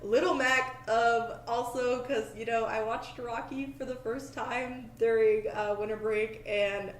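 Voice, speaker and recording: A woman hosting a podcast in casual, chatty talk, scatterbrained, jokey and quick to poke fun at herself. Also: a little high, steady tone. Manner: scatterbrained, self-deprecating, jokey. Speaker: podcast host. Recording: echoey room, faint background noise.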